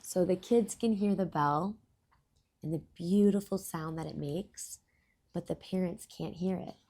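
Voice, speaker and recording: A woman hosting a children's storybook read-aloud, speaking in deliberate phrases and explaining things to young listeners. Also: on the low side, mellow.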